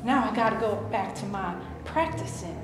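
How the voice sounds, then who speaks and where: A woman's voice: mid-range voice, moderate pace, close to an everyday voice. A woman playing a ditzy, vain starlet. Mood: chirpy. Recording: echoey room with noise.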